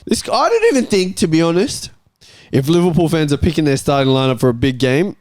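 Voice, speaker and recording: A man's voice: a little high, sing-song, fairly quick, a little mellow, no echo, clean studio sound. A man hosting a panel, talking casually in football banter. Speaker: panel show host.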